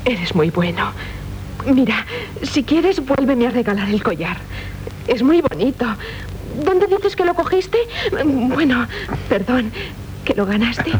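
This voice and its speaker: A woman's voice: expressive, slow, pauses often. An actress playing a proud, impetuous young noblewoman of medieval Castile in theatrical radio drama, with a Castilian Spanish accent. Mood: contrite, softened. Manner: haughty, impetuous, melodramatic. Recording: noisy, rumbling 1970s radio recording.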